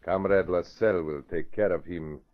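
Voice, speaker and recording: A dull, muffled old radio recording of a man acting as a ruthless plotting villain. His voice is low, deliberate and gloating. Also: natural voice. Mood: smugly menacing. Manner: sinister, deliberate, self-satisfied. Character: gloating, ruthless male enemy agent plotting murder.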